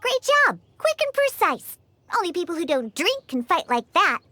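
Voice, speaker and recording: A woman's voice: big pitch swings, normal speed, slight background noise, full character voice. A woman voicing a feisty young catgirl bartender in a video game, with a childlike voice and an emphatic, indignant manner. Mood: pleased. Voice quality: high, bright, childlike. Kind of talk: animated video game character acting.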